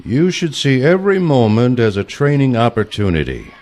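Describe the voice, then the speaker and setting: An actor playing an older retired male assassin, a stern mentor with a dry, curt, deadpan manner in a comic audio play. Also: medium pitch, normal speed, natural voice.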